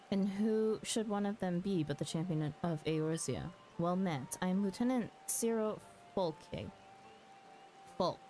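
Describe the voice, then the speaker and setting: Woman streamer with a mellow, lowish voice. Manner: chatty, halting, muttering asides to self. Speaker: streamer, host of a live game stream. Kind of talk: casual live game-stream commentary, reading dialogue aloud. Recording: music behind the voice. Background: background music.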